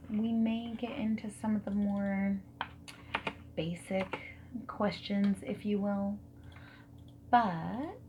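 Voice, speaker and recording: Woman, soft ASMR whispering and murmuring, friendly consultant roleplay, slow pace, typing and clicks, noisy recording. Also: mid-range voice, vocal fry. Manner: friendly, reassuring, unhurried. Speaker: ASMR roleplayer playing a dating service consultant. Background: mechanical keyboard typing and clicks.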